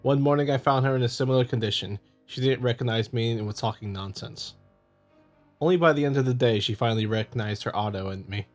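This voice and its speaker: A man playing a smooth, scheming visual novel husband who feigns loving concern, coaxing and patronizing. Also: medium pitch, fast talker, soft background music, close to an everyday voice. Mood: feigned concern.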